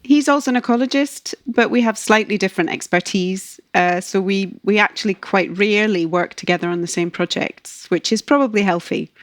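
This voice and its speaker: A woman's voice: mid-range voice, a little fast, few pauses, no echo. A woman in her fifties from Scotland with a Scottish accent, answering interview questions in a chatty, self-deprecating way. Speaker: guest ecologist.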